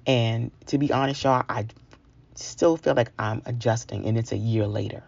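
A woman from New York tells a personal story in a gravelly, husky voice. She is candid and confiding, talking straight to her listeners as in conversation. The recording is dull and lo-fi. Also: rumbling low, normal speed, darkish tone, dry recording. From New York, United States. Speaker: featured storyteller.

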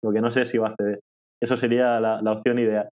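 Fast-talking Murcian man, lo-fi sound. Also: fairly low pitch, level tone, a little mellow. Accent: Murcian Spanish. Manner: quick anecdotal storytelling with laughs. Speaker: podcast guest. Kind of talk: casual chat. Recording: dull lo-fi remote audio.

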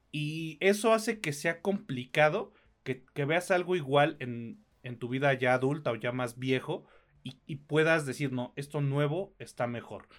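A Mexican man co-hosting a podcast, reviewing films in casual, slangy talk with some swearing. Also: on the high side. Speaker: podcast co-host. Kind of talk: casual film review chat.

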